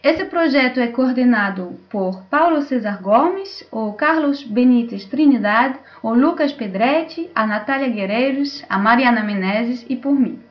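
A woman hosting a panel, introducing it in a semi-formal, somewhat halting way over an online call. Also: a little high, lively intonation. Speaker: host and moderator. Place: remote video call. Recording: dull lo-fi online call audio.

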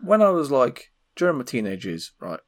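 A young man chatting casually as a podcast co-host, telling stories in a sweary, animated way. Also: mid-range voice, moderate pace, dry recording, studio quality. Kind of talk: casual chat.

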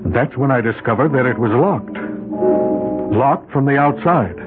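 An actor playing a courteous, hesitant lawyer stranded on an island, who also narrates in first person in a 1970s radio drama. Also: male voice, medium pitch, expressive, moderate pace, lightly acted. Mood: tense narration. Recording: tinny old radio broadcast with music.

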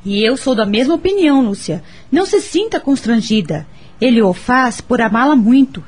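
A woman's voice: fairly high pitch, animated, moderate pace, a little mellow, slightly rough. A woman acting in a radio soap opera as a supportive confidante, encouraging and emphatic.

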